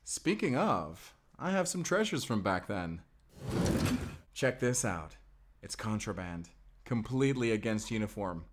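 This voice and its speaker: A low-voiced young vampire slayer. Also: male voice, big pitch swings, measured pace, background noise. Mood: wistful, playful. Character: young Spanish male vampire slayer, sheltered, earnest, hot-headed. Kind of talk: cinematic audio roleplay acting.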